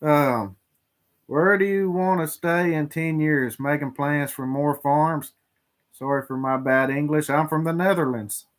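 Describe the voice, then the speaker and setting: A man, a farmer co-hosting a livestream and reading out viewer questions in casual chat. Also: fairly high pitch. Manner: drawling, relaxed, halting with fillers.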